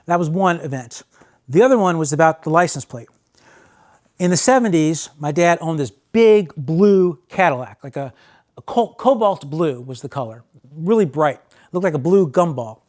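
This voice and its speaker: An American man hosting and telling stories casually, in an animated way with phrases repeated for emphasis. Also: fairly high pitch, moderate pace. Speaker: host and storyteller. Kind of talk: casual spoken storytelling.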